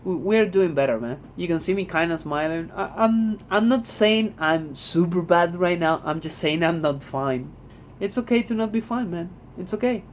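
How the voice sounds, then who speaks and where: A man's voice: sits high, normal speed, a little hiss or hum. Blunt young Argentine man. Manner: blunt, repeats "man", swears freely. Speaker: vlogger. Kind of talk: casual talking-head monologue. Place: home room.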